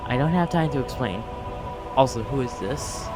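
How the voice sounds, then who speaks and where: A man's voice: mid-range voice, lively intonation, normal speed, close to an everyday voice. A man voicing a protective warrior mother in an amateur dramatic reading, with earnest, accusing defiance. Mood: guarded. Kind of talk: amateur dramatic reading of comic fan fiction. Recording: music under the voice.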